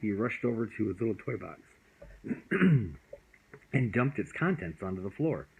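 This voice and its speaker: A father narrating a bedtime story in a gentle, loving, unhurried way. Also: fairly low pitch, big pitch swings, creaky, a little hiss or hum, poor audio.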